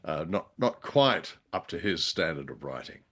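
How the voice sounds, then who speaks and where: A man co-hosting a conversational radio drama review, speaking in a measured, slightly hesitant and mildly wry way. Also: sits low, big pitch swings, a bit bright. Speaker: co-host.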